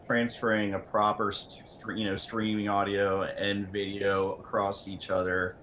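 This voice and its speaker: A man's voice: lowish voice, completely flat delivery, unhurried, a bit bright, slight background noise. A man talking casually about technical matters as a guest equipment representative.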